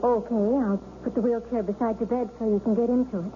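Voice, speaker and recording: An actress playing a patient but fed-up wife who speaks in a steady, reproachful way in a 1970s radio drama. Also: female voice, on the high side, fast talker, music in the background, close to an everyday voice. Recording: dull lo-fi old radio broadcast.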